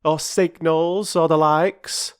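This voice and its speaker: A man's voice: fairly high pitch, steady tone, slow pace, smooth, pitched above the performer's own voice. A man voicing a huffy working-class railway porter who talks in a lofty, sulky way with clipped dialect speech. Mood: indignant.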